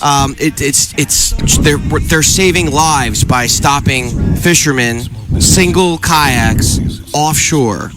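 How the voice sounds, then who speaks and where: A man's voice: fairly high pitch, loud music behind the voice. Rambling man co-hosting a radio show. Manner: rambling, hesitant, halting. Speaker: radio co-host and kayak tournament organizer. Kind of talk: casual radio chat.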